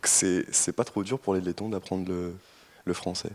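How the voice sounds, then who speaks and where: A man interviews a guest, asking questions politely and in a measured way. His voice sits slightly low. Now and then he pauses on a filler. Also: flat delivery, big-room sound. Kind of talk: on-location interview.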